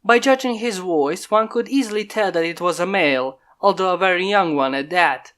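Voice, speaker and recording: Steady male fanfic narrator. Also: high voice, moderate pace. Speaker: fanfic reader. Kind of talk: reading a fan story aloud. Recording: mic pops from a failing microphone.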